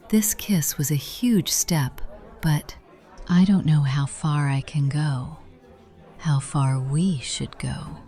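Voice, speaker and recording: A woman playing an affectionate, teasing yet cautious mature love interest in a visual novel, breathy and intimate. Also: sits low, warm tone. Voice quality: breathy, often whispery. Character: Nora, affectionate older aunt, teasing yet cautious lover.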